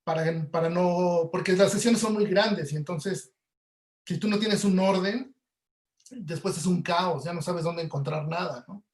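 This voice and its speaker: A man's voice: high-pitched, unhurried, reverberant. A man giving an informal webinar lecture as an audio producer, explaining conversationally to students and checking in with tag questions. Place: indoor room, online webinar.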